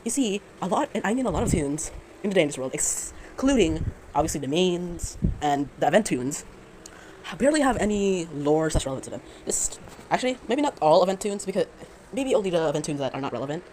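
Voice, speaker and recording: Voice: mid-range voice, very animated, brisk, a little mellow, rough recording. A person giving an unscripted, sarcastic fan rant, rambling with stammers and frequent self-corrections. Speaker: fan commentator. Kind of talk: unscripted opinion rant about a game. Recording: amateur recording with clear background noise.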